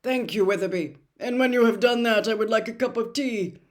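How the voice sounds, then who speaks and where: A woman's voice: a little high, close to an everyday voice. A woman voicing a mad older male wizard official in an audiobook, veering between officious dictation and desperate, broken gasps. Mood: officious, rambling. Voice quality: breathy gasping, broken halting delivery. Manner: swings from fluent officious dictation to halting frantic gasps.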